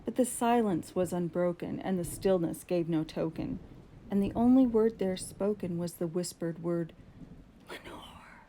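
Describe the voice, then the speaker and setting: A woman giving a dramatic, deliberate recitation of gothic poetry, with drawn-out phrasing and strong emphasis. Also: lowish voice, mellow, slight background noise, rough recording. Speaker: poetry reader.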